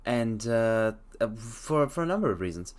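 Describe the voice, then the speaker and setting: A man hosts a casual live stream, his voice sitting at an ordinary middle pitch. His delivery is playful and theatrical, and he swings his intonation up and down in a lively way. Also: normal speed. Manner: theatrical, playful, calls listeners mortals; hums softly to himself between phrases.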